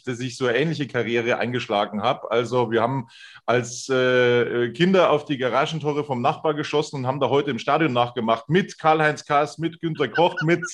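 A middle-aged male host with a medium-pitched voice, chatting easily with his guest on a sports talk evening. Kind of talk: casual interview chat.

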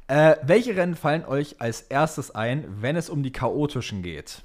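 A young man with a medium-pitched voice. He co-hosts a podcast in an informal way, talking through listener questions with plenty of youthful slang. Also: expressive, unhurried. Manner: slangy, mixes English phrases into German. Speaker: podcast co-host. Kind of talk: casual banter, answering listener questions.

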